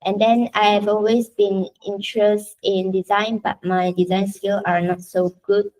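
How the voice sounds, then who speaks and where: A young woman from Malaysia, a Chinese speaker, giving a chatty, halting self-introduction to her university class. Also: medium pitch, level tone, a little mellow, poor audio. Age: young adult. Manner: chatty, halting, frequent restarts. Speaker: university student presenter.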